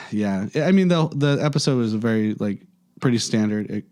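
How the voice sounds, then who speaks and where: Hoarse, raspy male podcast co-host. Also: lowish voice, expressive, moderate pace, a little mellow. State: hoarse from losing his voice. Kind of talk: casual chat.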